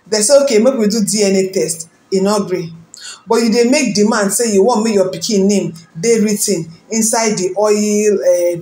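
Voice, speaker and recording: A woman's voice: medium pitch, a little mellow, echoey room. A woman speaking Nigerian-accented Pidgin, hosting celebrity gossip commentary in a dramatic, rhetorical way and calling out to her viewers. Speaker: gossip channel host.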